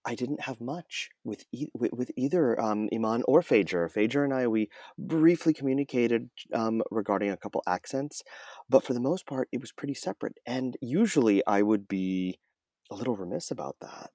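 A man's voice: medium pitch, lively intonation, moderate pace, mellow, no echo, clean studio sound. A man speaking as an interview guest, an audiobook narrator talking conversationally and enthusiastically, stretching words for emphasis.

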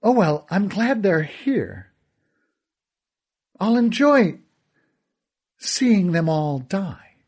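A man's voice: high-pitched, sing-song, drawn-out words, pauses often, husky, voice pushed up, exaggerated voice. Man doing a gloating, swooping villain. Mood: mocking. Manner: sneering, swooping, sing-song taunts. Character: cruel mocking villainous man, theatrical and gloating.

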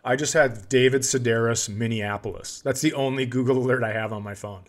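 A man's voice: medium pitch, fairly even intonation, fairly quick. Wry man swapping casual comic anecdotes. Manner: wry, swearing, quotes dialogue. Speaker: comedy podcast co-host. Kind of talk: casual comedic storytelling.

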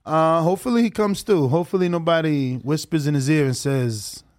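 Man, high voice, dry close sound, excitable, casual swearing podcast host. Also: fairly quick, no room sound. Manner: excitable, swears freely, laughs often. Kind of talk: casual boxing chat.

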